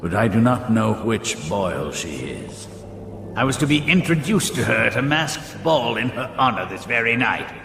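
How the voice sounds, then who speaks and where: An actor playing a proud, cultured older painter in a video game, drawling haughtily and deliberately. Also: male voice, medium pitch, sing-song, gravelly, noisy background. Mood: haughty, sly. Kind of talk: dramatic game cutscene dialogue. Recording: roomy echo under loud music.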